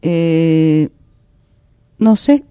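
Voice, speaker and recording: A woman acting a hesitant, evasive woman in a radio sketch. She drags her words out very slowly, with lively swings of pitch, in a dry, close sound. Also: clean tone, no room sound, exaggerated voice. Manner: hesitant, drawn-out, noncommittal. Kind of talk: improvised radio theatre exercise.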